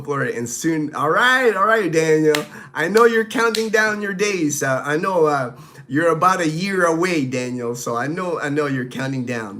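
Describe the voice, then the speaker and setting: A man's voice: fairly high pitch, fairly bright tone. A middle-aged man from the Philippines, a native Tagalog speaker, hosting a live stream and chatting casually through viewer questions with many fillers and restarts.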